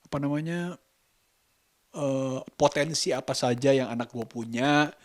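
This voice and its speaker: A man's voice: mid-range voice, no room sound, clean studio sound. A man talking reflectively in a casual vlog, hesitant and often searching for words. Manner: hesitant, halting, searching for words with repeated phrases. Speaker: vlogger and host.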